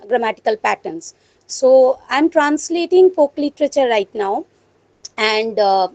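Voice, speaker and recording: Give me a high-voiced woman taking part in a video-call class. She speaks politely and hesitantly, pausing often to find her words. The sound is dry and close. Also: a little mellow, no room sound. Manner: hesitant, many uh fillers, polite. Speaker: student translator. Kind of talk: asking questions in an online class.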